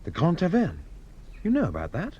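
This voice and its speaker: Animated, earnest, troubled gentleman. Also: on the high side, a little fast, slightly rough, lo-fi sound, full character voice. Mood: dismayed, resolute. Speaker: actor in radio drama. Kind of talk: radio drama acting.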